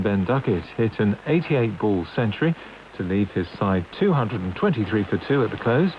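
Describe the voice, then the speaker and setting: A man reading scripted news as a radio newsreader over a long-wave broadcast. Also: on the low side. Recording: dull long-wave radio with faint hiss.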